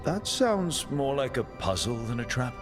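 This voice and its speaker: A man voicing a curious adventurer leader who asks calm, measured, probing questions in a game cutscene. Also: mid-range voice, music in the background. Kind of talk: video game cutscene dialogue.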